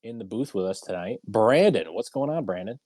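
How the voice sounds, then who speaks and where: A man's voice: on the low side, animated, brisk, warm tone, no room sound, clean studio sound. A man hosting a casual livestreamed round table talk show.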